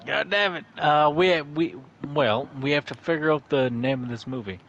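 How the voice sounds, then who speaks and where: A man chatting casually on a livestream, halting and stumbling over words, swearing often. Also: fairly high pitch, a bit bright, lo-fi sound, slight background noise. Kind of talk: casual chat.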